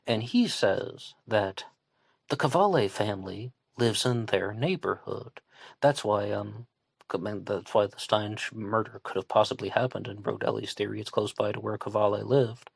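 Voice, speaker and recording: A man's voice: fairly low pitch, normal speed, gravelly, slightly rough, no room sound. A man from West Virginia with a faint West Virginian accent, hosting a true crime podcast and talking it through casually, hedging and restarting as he thinks aloud. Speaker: podcast host.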